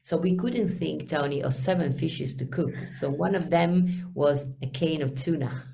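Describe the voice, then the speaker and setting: A woman with a low voice and a strong Italian accent chats as a guest in an interview. Her tone has a gravelly edge, and her English is halting, with many fillers while she searches for the right word. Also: dark tone, a little hiss or hum. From Italy. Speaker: guest singer. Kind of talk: casual interview chat.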